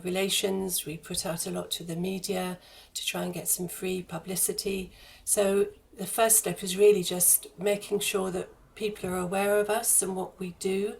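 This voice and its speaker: Female charity spokesperson in interview, lowish and even-toned, earnest and explanatory, faint noise behind. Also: creaky. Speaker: interview guest, charity fundraising head.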